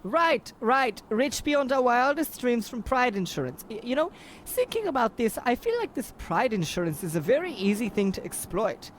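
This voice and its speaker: A woman acts out a naive, slow-witted boxer with a highish, very animated voice. The character is agreeable and gullible, in a comic radio play with faint noise behind. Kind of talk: comic old-time radio play acting.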